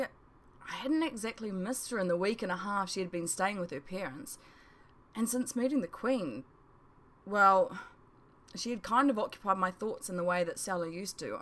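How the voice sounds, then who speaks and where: A woman's voice: on the low side, fairly bright tone, a little hiss or hum. A woman reading her own novel aloud as a first-person storybook narration.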